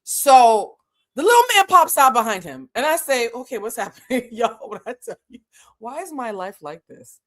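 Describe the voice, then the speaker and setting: A woman whose first language is French tells a casual story to her viewers. She is animated and profane, and she talks straight to her audience. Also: on the high side, normal speed. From Boston. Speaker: channel host.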